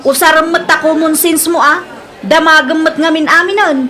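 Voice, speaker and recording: A high, sharp-tongued female supervisor with an Ilocano accent, acted by a woman. She scolds impatiently and sounds exasperated, as a comedy-drama figure. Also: steady tone, moderate pace. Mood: irritated scolding. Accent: Filipino, Ilocano.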